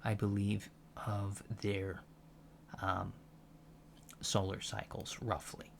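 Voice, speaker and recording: A man playing a gentle, earnest alien professor in a soft-spoken ASMR lecture roleplay, with a halting, thoughtful delivery. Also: fairly low pitch, monotone, creaky, slight background noise. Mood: earnest, calm. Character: gentle, earnest male alien college professor teaching about humans.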